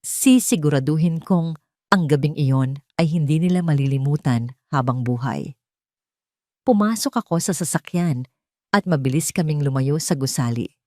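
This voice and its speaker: Low-voiced woman narrating drama. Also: lively intonation, normal speed, mellow, dry recording, clean studio sound. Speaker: audio story narrator. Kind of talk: dramatic scripted first-person narration.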